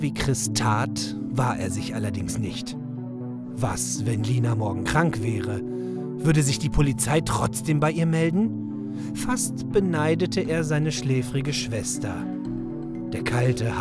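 A man's voice: medium pitch, expressive, takes it slowly, loud music behind the voice. A man delivering scripted narration as the storyteller of a children's audio drama.

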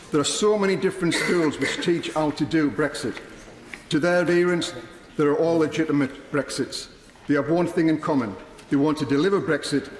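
A man's voice: a little high, a little hiss or hum. Earnest man speaking in echoing chamber. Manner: reads prepared speech, earnest and measured. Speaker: member of parliament. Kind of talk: parliamentary debate speech. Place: large debating chamber, indoors. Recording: echoey chamber with long reverb.

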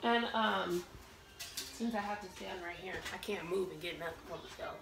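A woman's voice: lowish voice, normal speed, gravelly, noisy background. A woman in her thirties chatting casually while she cooks at home, rambling with lots of fillers and restarts. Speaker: cooking vlogger. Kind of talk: casual storytelling while cooking. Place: home kitchen, indoors. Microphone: camera set across the room. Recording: roomy kitchen, distant camera, pan clatter.

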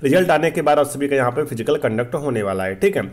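Fast-talking man explaining. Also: mid-range voice, lively intonation. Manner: repetitive, emphatic explaining with frequent check-in tags. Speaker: host of exam-update channel. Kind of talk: explainer addressed to students.

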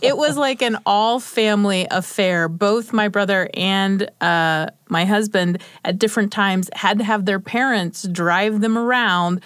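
A woman's voice: medium pitch, a little slow, hardly any pauses, fairly bright tone, clear, resonant tone, no echo. An American woman, a radio producer, sharing anecdotes in casual studio conversation. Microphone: close studio microphone.

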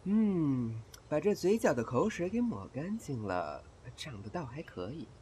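A man's voice: fairly high pitch, sing-song, measured pace, warm tone, slight background noise. Man acting a haughty young boss, swooping intonation. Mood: smug, bargaining. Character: languid, shrewd, handsome young male pleasure-house boss. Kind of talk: Chinese comedy audio drama acting.

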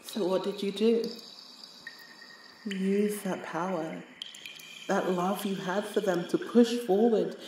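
A woman playing a bitter, heartbroken yandere with supernatural power in a dramatic audio roleplay, speaking in an emotional, halting way. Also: mid-range voice, fairly even intonation, dark tone, distant mic, lightly acted. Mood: sorrowful, wounded. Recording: roomy sound with faint noise.

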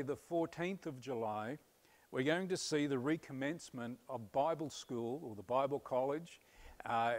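A man in his fifties with an Australian accent, a pastor giving earnest, upbeat church announcements on a stage, pausing often on "uh", with a lapel mic. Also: medium pitch. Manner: earnest, upbeat, many "uh" fillers. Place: church stage before a curtain.

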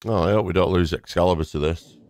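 Low-voiced man commentating on a game. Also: fairly even intonation, fast talker, a little mellow, slight background noise. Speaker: gaming video host. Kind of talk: casual reactive game commentary. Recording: game music behind the voice.

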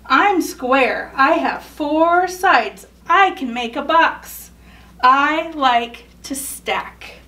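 A woman's voice: high voice, animated, drawn-out words, pushed and bright, recorded from a distance, exaggerated voice. A woman voicing a straightforward square character from a picture book, speaking in plain, tidy statements. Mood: matter-of-fact.

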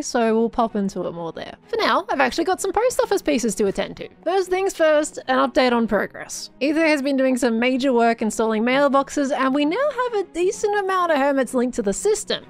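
A slightly hoarse woman with an Australian accent, chatting casually through a Minecraft let's-play. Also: sits high. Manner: chatty, bubbly, cooing over things. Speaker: Minecraft YouTuber.

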